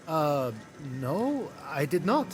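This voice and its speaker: An actor playing an earnest, plucky young hero in a video game, sincere, dry and determined. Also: male voice, fairly high pitch, sing-song, slow pace. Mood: dutiful, wary. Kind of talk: animated video game cutscene acting. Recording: music clearly behind.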